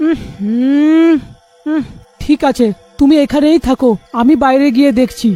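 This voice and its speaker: A man's voice: extremely high pitch, normal speed, warm tone, faint music behind. A man voicing a village husband and father in a cartoon, exclamatory and easily alarmed. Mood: reassuring. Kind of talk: cartoon voice acting.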